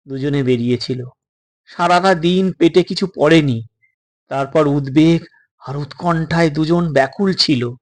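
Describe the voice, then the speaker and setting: Guy reading a suspense story, really slow w dramatic pauses, voice a bit on the high side. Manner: deliberate, dramatic phrasing with pauses. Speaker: story narrator. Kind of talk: dramatic suspense audiobook narration.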